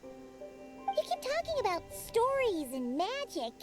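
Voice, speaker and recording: A woman voicing a tiny, childlike, perky and curious fairy companion in an animated video game, with a squeaky, exclamatory delivery. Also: slow pace, music in the background, full character voice. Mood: excited, curious. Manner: exclamatory, refers to self by name.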